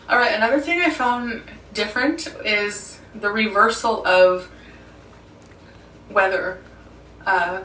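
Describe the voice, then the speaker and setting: A young woman chats to her viewers in a loose, rambling way, tossing in fillers and little laughs. Her mid-pitched voice bounces around a hard room and sits a step back from the microphone. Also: fairly bright tone, roomy sound, recorded from a distance, slight background noise, poor audio. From Ottawa, Canada. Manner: chatty, rambling, with frequent "like" and small laughs. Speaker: vlogger. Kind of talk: sit-down talk vlog.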